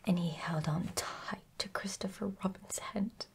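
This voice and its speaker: A woman reading a children's bedtime story in a hushed, gentle, unhurried ASMR whisper. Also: medium pitch.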